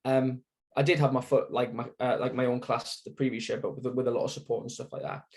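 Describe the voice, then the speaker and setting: A man's voice: medium pitch, little pitch movement, fast talker, a little mellow. A young man with a Sunderland accent, chatting as an interview guest in a plain mid-range voice. He rambles through his story, circling back with fillers and restarts. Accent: Sunderland (Mackem). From Sunderland, England. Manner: rambling, lots of fillers and self-corrections.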